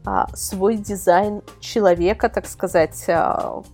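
A woman's voice: mid-range voice, steady tone, slow, darkish tone, soft background music. A woman speaking as an expert guest on a casual podcast, explaining things in a chatty way. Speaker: guest, magazine-style portal editor. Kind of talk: casual podcast interview answers.

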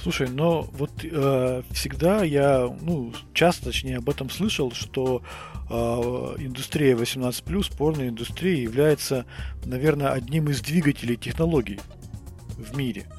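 A man speaks as a podcast host in a casual interview style. His pitch is average for a man, and music plays behind his voice. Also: measured pace, darkish tone. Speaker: podcast host asking questions.

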